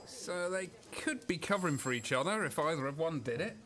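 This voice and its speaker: A man's voice: on the high side, lively intonation, a little fast, a bit bright. A man acting as a blunt, inquisitive police detective in an amateur radio drama, speaking in short, direct questions.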